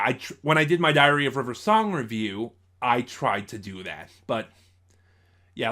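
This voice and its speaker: A man presents a casual review in an ordinary mid-pitched voice. He speaks haltingly, letting phrases trail off and then landing firmly on certain words. It sounds like an informal host talking through his opinions. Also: sharp tone. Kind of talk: casual spoken review of audio dramas.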